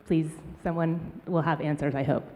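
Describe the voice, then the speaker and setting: A woman's voice: low-pitched, fairly even intonation, normal speed, a little mellow. A woman on a conference panel, speaking thoughtfully and searching for words with many ums. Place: conference hall. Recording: long reverb of a hall.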